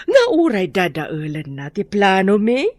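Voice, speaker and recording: A woman's voice: medium pitch, big pitch swings, measured pace, darkish tone, no echo. An actress playing a haughty, scornful, threatening rich lady in a Filipino radio drama. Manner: imperious, scornful.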